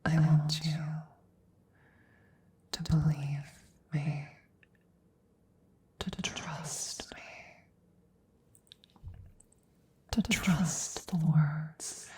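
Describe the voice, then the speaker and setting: A woman playing a gentle, protective witch in an ASMR roleplay, speaking softly and tenderly in breathy whispers, close to the mic. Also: deep voice, fairly even intonation, slight background noise. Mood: hushed, soothing. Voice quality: soft, breathy, often whispering. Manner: tender, slow, reassuring. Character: gentle protective witch soothing her werewolf familiar. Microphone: close microphone.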